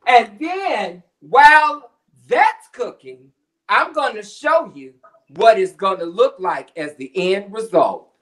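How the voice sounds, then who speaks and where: A man's voice: high voice, animated, takes it slowly, fairly bright tone. Jokey middle-aged man cooking on a video call. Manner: jokey, drops slang and puns. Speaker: cooking show contestant. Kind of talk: playful recipe demonstration. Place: home kitchen. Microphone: webcam on video call.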